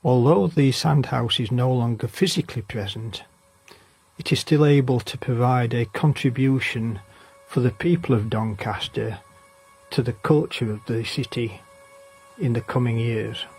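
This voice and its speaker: A man with a husky voice reading scripted informational narration. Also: medium pitch, unhurried, a little mellow, soft background music, low-quality recording.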